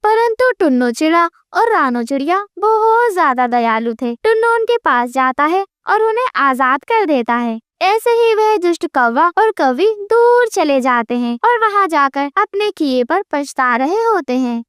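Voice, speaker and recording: A woman's voice: very high voice, very animated, a little slow, hardly any pauses, fairly bright tone, no echo, studio quality. A woman narrating a scripted children's moral story for a cartoon.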